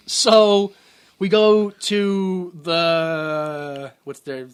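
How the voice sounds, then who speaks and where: A man's voice: sits high, fairly even intonation, very slow, clean tone. A man co-hosting a podcast, chatting casually about a film, rambling, swearing freely and acting out lines he quotes. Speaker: podcast co-host. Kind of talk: casual movie review chat.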